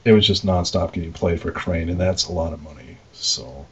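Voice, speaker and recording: A man's voice: sits low, fairly quick, slight background noise. A man co-hosting a podcast in casual, unscripted shop talk, rambling informally with some mildly crude slang. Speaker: podcast co-host, arcade game operator. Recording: dull lo-fi voice recording.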